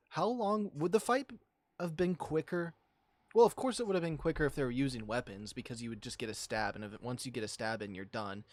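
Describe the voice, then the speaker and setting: A man's voice: medium pitch, no echo. A man reading a visual novel aloud as a channel host, in a storytelling style with casual commentary.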